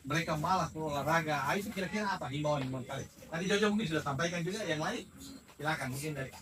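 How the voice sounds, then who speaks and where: A man's voice: a little high, fast, recorded from a distance, background noise. A man interviewing casually, affirming answers in an encouraging way.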